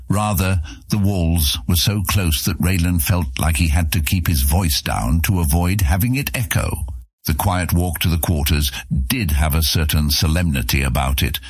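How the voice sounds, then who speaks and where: A man's voice: very deep voice, husky, no echo. A man narrating scripted fantasy fiction as an audiobook reader.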